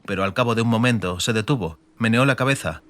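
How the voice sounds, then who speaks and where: A man's voice: lowish voice, fast talker, a bit bright. A man with an accent from Spain, narrating a fantasy audiobook in a dramatic scripted style. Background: music.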